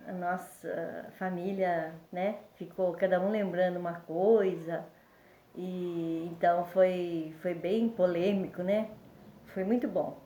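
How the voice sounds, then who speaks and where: Older woman from Brazil, slow rambling storyteller, slightly stuffy, echoey room. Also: on the low side, mellow. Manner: rambling, with many fillers and self-corrections. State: congested, a bit under the weather. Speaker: vlogger telling family memories. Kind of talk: casual storytelling.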